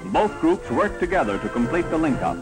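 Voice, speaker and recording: A man's voice: fairly high pitch, big pitch swings, a little fast, poor audio. A man narrating a scripted wartime newsreel from the 1940s in a dramatic, triumphal, declamatory manner. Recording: vintage 1940s newsreel soundtrack. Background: newsreel music.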